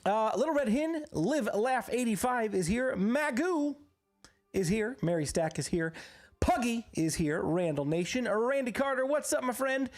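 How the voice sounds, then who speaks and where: A live game streamer in casual chat with viewers, a bit hoarse from recovering from illness, upbeat and greeting people by name one after another. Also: medium pitch, lively intonation, unhurried, faint music behind.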